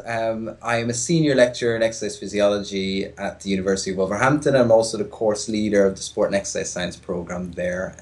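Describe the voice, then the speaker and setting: Relaxed Irish man, lowish voice. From Ireland. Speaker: guest, exercise physiology lecturer. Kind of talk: podcast interview.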